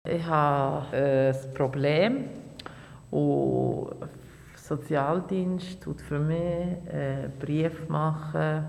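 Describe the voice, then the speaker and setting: Earnest 40-something woman, gravelly, halting, hall echo. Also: deep voice, steady tone, a little mellow. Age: 40s. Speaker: interviewee. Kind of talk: casual interview answers.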